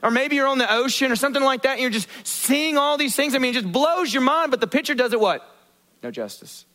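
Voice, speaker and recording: A male pastor preaching a sermon, asking the congregation questions and acting out quotes in lively voices. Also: extremely high pitch, fast. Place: church.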